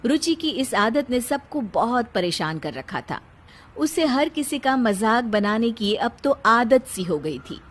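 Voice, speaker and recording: A woman's voice: on the high side, big pitch swings, measured pace, a little hiss or hum. A woman narrating a scripted cartoon family story in the role of storyteller.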